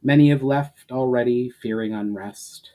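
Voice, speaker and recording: A man's voice: mid-range voice, unhurried, mellow. A man reading fantasy fiction aloud as a dramatic narrator, with earnest delivery and emphatic phrase openings. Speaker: author reading his fantasy novel aloud. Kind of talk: dramatic scripted story narration.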